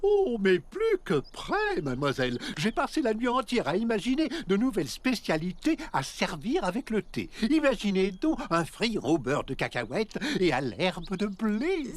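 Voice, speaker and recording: An actor voicing an eccentric, courteous male centipede cook, florid and excitable, in a French children's cartoon dub. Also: mid-range voice, normal speed, few pauses. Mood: excited, proud. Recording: prominent cartoon music underneath.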